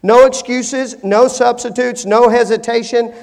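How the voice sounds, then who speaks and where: A middle-aged male pastor preaching a sermon, shifting from hushed, slow stretches to emphatic, rising appeals. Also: extremely high pitch, steady tone, creaky. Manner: dynamic, swings from hushed to emphatic. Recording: long hall reverb.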